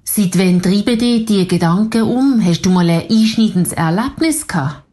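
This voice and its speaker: A woman's voice: medium pitch, moderate pace, warm tone. A woman interviewer, speaking in an interview.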